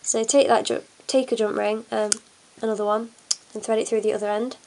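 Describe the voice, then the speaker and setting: A woman's voice: fairly high pitch, level tone, a little fast, a little mellow, slight background noise, poor audio. A young woman with a cold and a blocked nose, chatting through a hands-on craft tutorial with lots of ums. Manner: chatty, many fillers, talks while working. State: congested from a cold. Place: at a table indoors, hands on camera.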